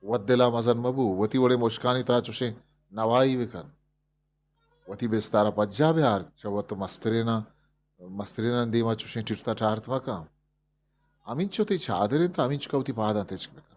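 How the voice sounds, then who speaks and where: A man's voice: medium pitch, a little slow, a little mellow, lightly acted. A man with a Balochi accent voicing a big, wise camel in a storybook fable, taunting and lecturing. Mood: stern scolding. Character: stern mocking male camel, big and wise. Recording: music behind the voice.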